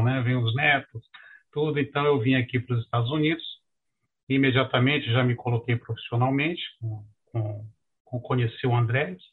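An older Brazilian man speaks with a husky, mid-pitched voice through narrow, phone-like call audio. He introduces himself to an audience in a courteous, conversational way. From Rio de Janeiro, Brazil. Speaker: guest presenter, international mobility professional. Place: online video call. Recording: muffled, narrow-band video call audio.

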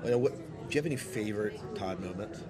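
A man's voice: lowish voice, lively intonation, fast talker. A man hosting a podcast interview as a fan, chatting casually in rambling, hesitant phrases. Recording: crowd chatter around the voice.

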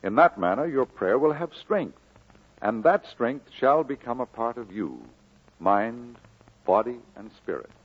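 An actor playing a kindly, earnest, encouraging minister in an old radio drama, exhorting in a solemn, measured way. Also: male voice, mid-range voice, lively intonation, slightly rough. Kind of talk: 1940s radio drama acting. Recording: muffled old radio broadcast.

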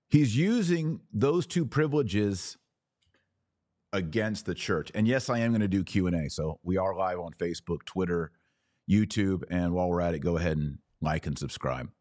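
A man delivers live opinion commentary as a podcast host. His voice sits fairly low, and he breaks his points into deliberate, emphatic phrases. The sound is dry and close to the microphone. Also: expressive, a little mellow, no room sound.

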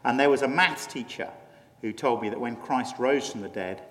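A man's voice: mid-range voice, fairly bright tone. An English man with a mid-range voice, answering questions on stage as a guest speaker. He is wry and self-deprecating. A long hall reverb surrounds him. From England. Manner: self-deprecating, quick humor. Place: large church auditorium.

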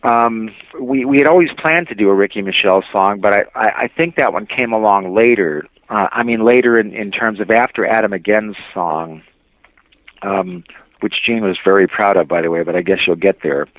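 A man reminiscing casually as an interview guest, speaking in a hesitant, halting way. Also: on the low side, expressive, dry recording, highs cut off. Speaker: interview guest, music label veteran.

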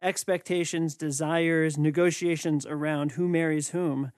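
A man's voice: on the high side, fairly even intonation, a little slow, darkish tone, no room sound, studio quality. A man interviewing a guest, asking thoughtful, searching questions in a casual conversation.

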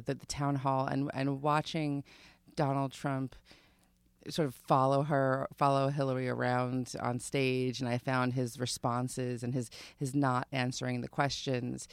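Creaky-voiced chatty woman from Long Island. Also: very deep voice, dry recording, clean studio sound. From Long Island, New York. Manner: self-deprecating, chatty, laughs easily. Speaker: podcast guest. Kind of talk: casual interview chat.

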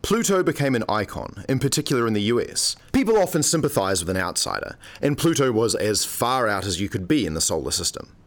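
A man's voice: fairly low pitch, sing-song, brisk, hardly any pauses. A man playing a sardonic, mock-pompous academic colleague in a scripted radio play, given to lecturing. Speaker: supporting actor in a radio play.

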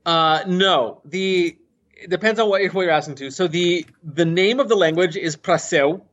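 A man's voice: high voice, normal speed, fairly bright tone. A man being interviewed as an author and language inventor, talking thoughtfully with frequent restarts and fillers. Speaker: author and conlanger, interview guest. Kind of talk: casual interview talk. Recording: muffled, dull podcast audio.

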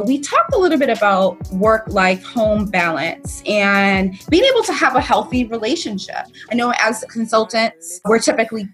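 A woman hosting a podcast in an upbeat, enthusiastic way. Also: medium pitch. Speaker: host.

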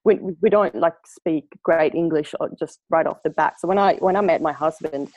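A Swedish-born nurse in her thirties, her accent now a blend of Swedish and Australian. Her voice sits a little low and is recorded dry and close. She chats easily, laughs a lot, and restarts her sentences as she goes. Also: moderate pace, a little mellow, no room sound, highs cut off. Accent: Swedish mixed with Australian. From Sweden. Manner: chatty, laughs often, many restarts. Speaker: guest nurse. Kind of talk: casual interview chat.

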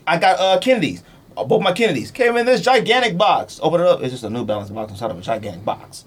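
A man's voice: fairly high pitch, sing-song, fast talker, husky. A man hosting a podcast, arguing casually and emphatically in slangy, repetitive phrases. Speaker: podcast host. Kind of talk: animated sneaker debate, casual chat.

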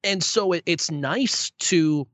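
A man's voice: fairly high pitch, slow, a bit bright, no echo. A young man, a sports play-by-play broadcaster, answering interview questions in a good-humored, self-deprecating way with many restarts. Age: young adult. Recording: dull lo-fi sound.

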